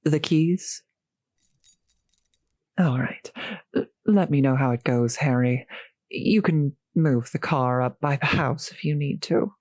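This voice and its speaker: Woman playing a slow-spoken, genteel old vampire host. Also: low voice. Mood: courteous, helpful. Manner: polite, softly hesitant, old-fashioned genteel phrasing. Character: courteous centuries-old vampire host, gentle, solicitous, slightly formal. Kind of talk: roleplay audio drama, addressing the listener.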